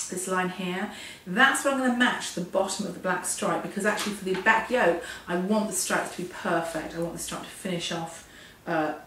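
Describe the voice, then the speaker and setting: Hoarse lady with a cold, chatty sewing tutorial, far off the mic, super echoey room. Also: lowish voice, a bit bright, slight background noise. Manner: chatty, self-deprecating, explains step by step. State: hoarse, losing her voice from a cold. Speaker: sewing instructor.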